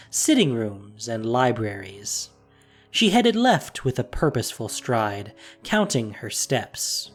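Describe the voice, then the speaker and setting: A man reading a fantasy story aloud in a measured, expressive way. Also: medium pitch. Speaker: narrator. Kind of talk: storybook narration.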